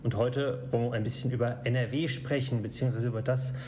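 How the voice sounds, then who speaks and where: A man from Germany, co-hosting a podcast in a relaxed, joking way. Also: mid-range voice, fast talker, slight background noise. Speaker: podcast co-host. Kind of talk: casual podcast chat.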